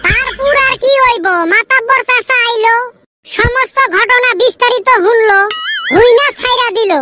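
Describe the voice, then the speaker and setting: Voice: extremely high pitch, steady tone, moderate pace. A performer voicing a boastful, complaining young village lad in a comic cartoon, with a rural Bengali dialect. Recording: very noisy amateur audio with clicks.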